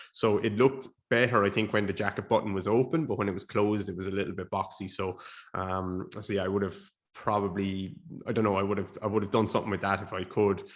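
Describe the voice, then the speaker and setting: A man in his thirties, a bit sniffly and stuffed up, hosting a casual solo podcast, rambling and correcting himself with the odd laugh. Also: on the low side. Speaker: podcast host. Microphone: basic podcast microphone.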